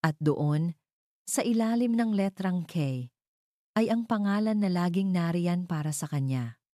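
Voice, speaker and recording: Low-pitched woman narrating a dramatic story. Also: lively intonation, unhurried, mellow, dry recording, clean studio sound.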